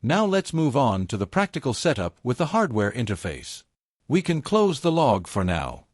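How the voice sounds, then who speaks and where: A man reading a scripted technical tutorial step by step in a measured, methodical way. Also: mid-range voice, huge pitch swings, no echo. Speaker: tutorial presenter.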